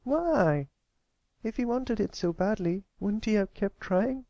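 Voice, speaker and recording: Plaintive young cartoon pony girl, male reader putting her on, high-pitched, questioning, earnest storybook delivery. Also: animated, slow, vocal fry, mellow, no echo, dull audio, heavily acted. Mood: plaintive, worried. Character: wistful young filly cartoon pony, anxious about growing up.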